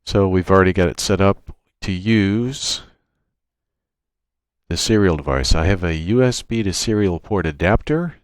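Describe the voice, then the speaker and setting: Male tech tutorial narrator, deep register, plain practical delivery, unscripted walkthrough, dry room sound. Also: no echo. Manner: matter-of-fact, step-by-step explaining. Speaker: tutorial presenter. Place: indoors at a computer, screen-recording voice-over.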